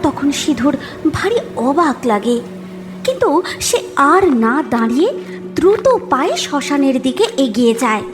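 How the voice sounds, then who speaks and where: A woman's voice: sits high, big pitch swings, moderate pace, over music. A woman narrating a cartoon horror story in a dramatic, scripted storytelling style.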